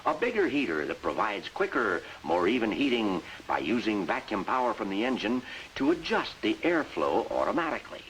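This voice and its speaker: An actor playing a bossy, emphatic sales mentor who narrates and coaches in a 1950s industrial training film. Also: male voice, on the low side, very animated, moderate pace, few pauses, fairly bright tone, slight background noise, low-quality recording. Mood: enthusiastic instruction. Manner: instructive, emphatic, salesmanlike delivery. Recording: vintage 1950s film soundtrack with music.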